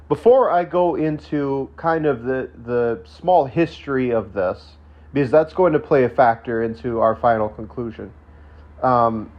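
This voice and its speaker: A man with a stuffed-up, congested voice talks casually to his viewers, wandering through fillers and false starts. His throat is still rough and he coughs now and then. The recording sounds a little dull and muffled, and his pitch sits in an ordinary middle range. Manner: rambling, lots of fillers and restarts. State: stuffed up from a sinus infection; coughing, throat not fully recovered. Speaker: channel host. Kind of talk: casual solo video talk.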